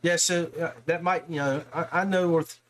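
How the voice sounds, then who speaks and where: A man's voice: a little high, fairly even intonation, normal speed. A man hosting a podcast, chatting casually and informally with a guest about his hobby in a folksy way. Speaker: podcast host. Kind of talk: casual interview chat about fishing.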